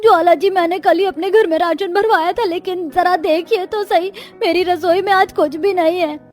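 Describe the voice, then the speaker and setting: Woman doing an agitated female villager, high-pitched, complaining, exaggerated cartoon delivery, faint music. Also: steady tone, normal speed, a little mellow. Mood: alarmed.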